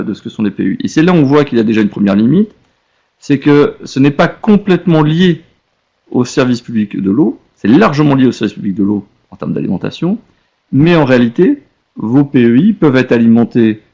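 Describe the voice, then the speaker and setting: Guy doing a webinar explainer, lots of vocal fry, kinda halting and rephrasing, muffled lo-fi audio. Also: mid-range voice, warm tone. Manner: hesitant, halting delivery with rephrasing. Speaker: webinar presenter, legal expert. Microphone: web conference connection. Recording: dull lo-fi web conference audio.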